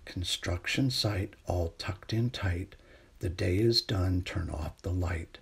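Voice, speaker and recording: Slow, husky man reading a bedtime story. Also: sits low. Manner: gentle, unhurried bedtime delivery with hushed refrains. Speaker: storytime reader. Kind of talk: reading a children's picture book aloud.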